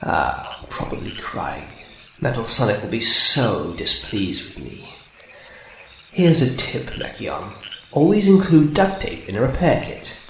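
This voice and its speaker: A man's voice: on the low side, big pitch swings, measured pace, gravelly, fairly bright tone, exaggerated voice. A man voicing a sadistic, taunting robot hunter in an amateur radio drama, needling with mock sweetness. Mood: smug. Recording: roomy sound with faint noise.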